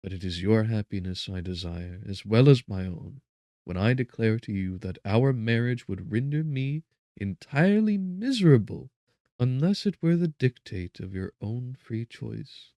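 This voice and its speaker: An anxious, devoted young woman voiced by a man speaks in an earnest, pleading way, as in an audiobook of an old novel. The voice sits fairly low, and the sound is dry with little room. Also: animated, a little slow, mellow, dry recording. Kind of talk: dramatic audiobook reading.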